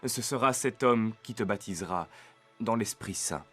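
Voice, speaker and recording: A resolute desert prophet, declares his words earnestly in a lowish voice. It is animated Bible drama, with soft music behind. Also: moderate pace, husky. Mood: fervent, resolute.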